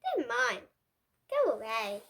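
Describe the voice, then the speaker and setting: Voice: medium pitch, huge pitch swings, takes it slowly, a bit bright, pitched below the performer's own voice, exaggerated voice. A child voicing a greedy, selfish pug dog from a storybook, emphatic and indignant. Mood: grumbling.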